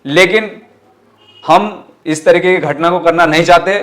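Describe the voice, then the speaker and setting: Higher-pitched man, earnest political activist. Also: steady tone, unhurried, a bit bright. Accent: western Uttar Pradesh. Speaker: political party activist, interviewee. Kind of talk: interview answers with political rhetoric.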